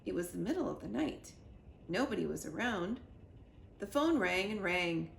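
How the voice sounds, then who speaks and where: A woman narrating a children's storybook in an expressive read-aloud style. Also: medium pitch, moderate pace, gravelly. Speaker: storybook reader. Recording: echoey room with faint noise.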